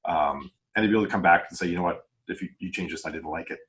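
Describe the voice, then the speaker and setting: A man's voice: low voice, racing, a bit bright. A man chatting casually as a podcast host and publisher, earnest and rambling in unscripted talk. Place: remote group video call.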